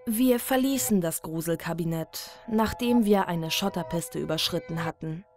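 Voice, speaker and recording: A woman narrating a scripted horror story in a measured, dramatic delivery. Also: lowish voice. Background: music.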